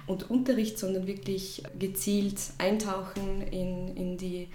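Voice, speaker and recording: A woman's voice: medium pitch, little pitch movement, unhurried, dark tone. A woman in her thirties from Russia, a native Russian speaker, telling her personal story as an interview guest in a reflective, hesitant way. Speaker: podcast guest, shop founder. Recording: roomy echo, faint background noise.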